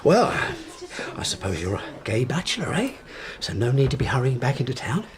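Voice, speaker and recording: An actor playing a desperate middle-aged uncle in an audio drama, blustering and wheedling behind a forced joviality. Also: male voice, mid-range voice, big pitch swings, a little fast, a crowd in the background, natural voice. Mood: falsely hearty.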